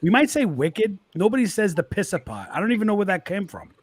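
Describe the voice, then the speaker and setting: A man's voice: high-pitched, very animated, quick pace, husky. A man from Boston with a Boston accent, a guest telling stories in casual chat, stammering and repeating words as he goes.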